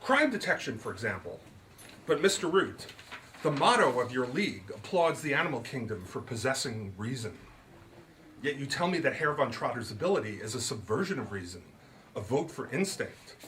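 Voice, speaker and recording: A man's voice: mid-range voice, sing-song, normal speed, fairly bright tone, slight background noise, poor audio. A man voicing a composed young female detective in a comic mystery story, asking polite, probing, formal questions. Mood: considering.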